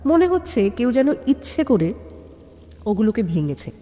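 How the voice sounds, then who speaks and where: Animated woman narrator in long reverb. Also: on the high side, moderate pace. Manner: expressive, suspenseful. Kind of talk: dramatic scripted audio-story narration.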